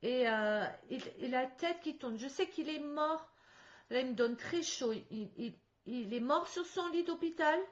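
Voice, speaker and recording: A fairly high-voiced woman speaks over a video call whose sound is a little dull and muffled. She leads a spirit contact session as the medium, describing what she senses in stop-start phrases. She breaks into quick laughs along the way. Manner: lively, laughs often, halting restarts.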